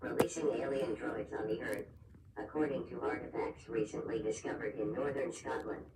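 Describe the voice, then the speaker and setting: A man's voice: mid-range voice, steady tone, moderate pace, close to an everyday voice. Echoey, distant male emergency announcer, acted. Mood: grave, formal. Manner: formal, impersonal delivery. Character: emergency alert system announcer, solemn adult man. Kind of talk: scripted emergency broadcast narration. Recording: echoey, distant, noisy alert broadcast audio.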